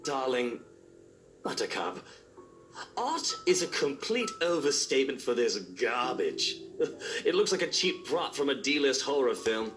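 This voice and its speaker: A man's voice: medium pitch, sing-song, measured pace, a bit bright. A man voicing a smug, theatrical wealthy husband in a visual novel game, flowery and mocking. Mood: disdainful. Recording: roomy sound with music behind.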